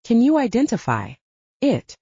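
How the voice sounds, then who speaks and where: A woman voicing a blunt, brisk female investigator who coaches like a mentor in an audiobook. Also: on the high side, wildly swooping pitch, mellow, breathy edge, no room sound, voice pushed up, full character voice. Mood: brisk, commanding. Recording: dull lo-fi, very dry.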